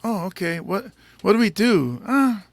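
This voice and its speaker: Man, host, casual interview chat, playful, halting with fillers, gravelly voice, bouncy pitch. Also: animated, no echo. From United States. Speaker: podcast host.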